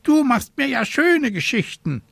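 A man voicing a fussy, scolding talking raven in a croaky, indignant storybook performance. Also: sits high, very animated, normal speed, a bit bright, no room sound, voice pushed up, exaggerated voice. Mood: scolding, alarmed. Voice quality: croaky, squawking. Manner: indignant, nagging.

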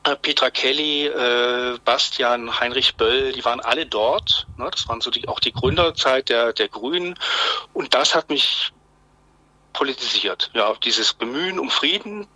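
Male, 50s, from southwest Germany, phone interview guest; very bright, dry voice, halting delivery. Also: medium pitch, dry recording, rough recording. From Swabia, Germany. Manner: hesitant, many fillers and restarts, tag "ne?". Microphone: telephone.

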